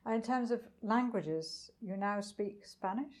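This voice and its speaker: A woman's voice: medium pitch, a little slow, darkish tone. A woman hosting a podcast interview, asking questions and murmuring attentive agreement. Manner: attentive, frequent backchannel murmurs. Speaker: podcast host.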